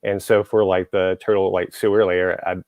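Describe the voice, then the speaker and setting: A man's voice: sits low, flat delivery, moderate pace, darkish tone, dry recording, studio quality. A man from Texas, hosting a show and chatting casually, rambling with lots of fillers and restarts. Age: adult, grew up in the 1980s. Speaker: show host. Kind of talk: casual podcast chat.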